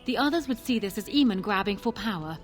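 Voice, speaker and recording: An actress playing a proud, ambitious queen in a fantasy video game, speaking formally with calm, measured persuasion. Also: mid-range voice, faint music behind, natural voice. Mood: cool, critical. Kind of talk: fantasy game dialogue acting.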